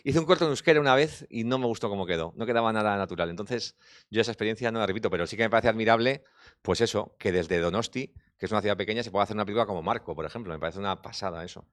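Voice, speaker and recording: A rapid, talkative man with a fairly low voice, answering festival press questions about his work. The sound is close and dry with no room around it. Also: no room sound. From Basque Country. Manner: fast, talkative, thinks aloud with restarts. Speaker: film director.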